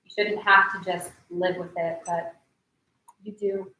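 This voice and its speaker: A young actress in a staged reading playing a frank, supportive friend. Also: female voice, on the low side, level tone, unhurried, sharp tone. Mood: gentle, reflective. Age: young adult. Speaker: actor. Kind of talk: staged play reading, naturalistic drama. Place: large echoey indoor room. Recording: echoey hall, distant mic, faint noise.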